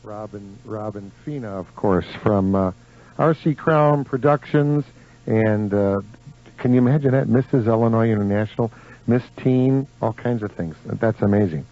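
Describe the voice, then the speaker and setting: A man's voice: on the low side, normal speed, faint music behind. A man hosting a live local radio show, chatting with effusive exclamations of praise. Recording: dull lo-fi AM radio recording.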